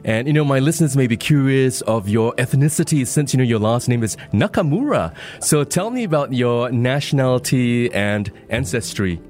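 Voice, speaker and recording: Radio host, polished and smooth. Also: male voice, medium pitch, lively intonation, normal speed, a little mellow. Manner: polished broadcast delivery with frequent "you know". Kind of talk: evening radio phone-in interview.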